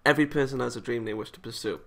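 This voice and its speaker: Bright-voiced British man doing a let's-play. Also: medium pitch, very fast, vocal fry. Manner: chatty, self-interrupting, playful asides. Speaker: gaming video host. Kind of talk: casual let's-play commentary with read-aloud visual novel text. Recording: music playing behind the voice.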